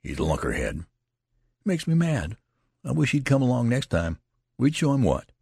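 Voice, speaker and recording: Man playing a rustic young soldier, lively pitch. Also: unhurried, vocal fry, breathy edge, no echo, clean studio sound, full character voice. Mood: exasperated. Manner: plain rustic ungrammatical talk. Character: philosophical young male soldier, soothing but easily exasperated.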